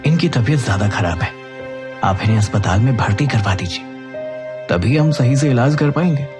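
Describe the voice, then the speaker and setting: A man's voice: mid-range voice, expressive, moderate pace, music in the background, close to an everyday voice. A man voicing a businesslike, matter-of-fact male doctor in a cartoon moral story. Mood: brisk, advising. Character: businesslike male doctor, firm about payment.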